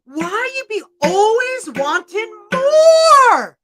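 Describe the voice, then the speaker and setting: A woman's voice: very high-pitched, big pitch swings, very slow, fairly bright tone, soft background music, pitched above the performer's own voice, exaggerated voice. A woman voicing a grumpy, weary leprechaun cobbler who scolds in exasperated, folksy storybook speech. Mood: frustrated.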